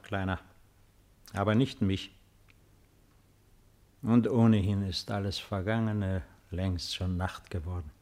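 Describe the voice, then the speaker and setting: An actor playing a solemn, weary ancient emperor in a radio play, proud and pensive, with grave, measured delivery. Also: male voice, lowish voice. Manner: slow, grave, measured declamation. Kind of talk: 1950s German radio play acting.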